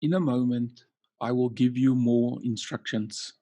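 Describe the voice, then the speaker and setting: Slow, husky man guiding relaxation. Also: medium pitch, fairly even intonation, mellow, dry recording. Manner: soothing, measured, with long pauses between short phrases. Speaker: coaching trainer leading a session. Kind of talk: guided relaxation, hypnotic induction.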